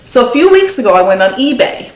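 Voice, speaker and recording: A woman's voice: on the high side, big pitch swings, quick pace. A middle-aged woman from Newfoundland with a Newfoundland accent, hosting a knitting podcast and chatting enthusiastically as she shows off craft supplies at home. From Newfoundland and Labrador, Canada. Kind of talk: casual show-and-tell chat. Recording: echoey room with faint noise.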